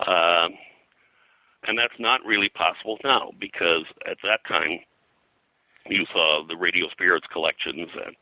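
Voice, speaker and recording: An older American man reminiscing as an interview guest, in a halting, rambling way. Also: rumbling low, sharp tone, no room sound, rough recording. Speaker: guest, comics colorist and publisher.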